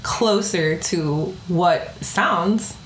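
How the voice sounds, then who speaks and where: A woman's voice: lowish voice, drawn-out words. A woman from the Bronx, New York, speaking as a podcast guest in a reflective, conversational way, often adding "like" and "right?". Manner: reflective, frequent "like" and "right?" tags. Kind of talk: conversational interview. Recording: echoey room with faint noise.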